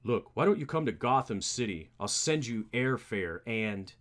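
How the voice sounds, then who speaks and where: A man's voice: medium pitch, expressive, normal speed, fairly bright tone, pitched below the performer's own voice, lightly acted. A man voicing a brooding young rich comic-book hero in a terse, serious manner. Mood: earnest, skeptical.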